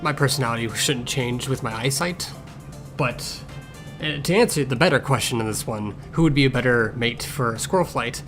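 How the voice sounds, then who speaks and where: A man's voice: mid-range voice, normal speed, natural voice. Grumpy cat medic for a cartoon fandub, played by a man: dry, sarcastic, blunt, over prominent music. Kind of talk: improvised-sounding voice-acted fan Q&A. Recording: music playing behind the voice.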